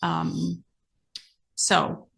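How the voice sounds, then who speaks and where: A middle-aged woman with an American accent talks in a fairly low voice with a lot of creak. Her delivery is conversational and plain-spoken, laying things out step by step as in a casual podcast. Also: steady tone, very slow, fairly bright tone. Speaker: podcast guest, amateur horse exhibitor. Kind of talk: casual explanatory podcast chat.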